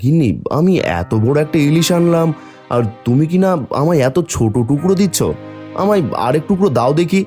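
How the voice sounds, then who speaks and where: A hot-tempered, suspicious village husband from a cartoon folk tale, played by a male actor. His voice is fairly high and swings up and down dramatically, with some music underneath. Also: animated, a little fast, warm tone, natural voice. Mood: puzzled, demanding.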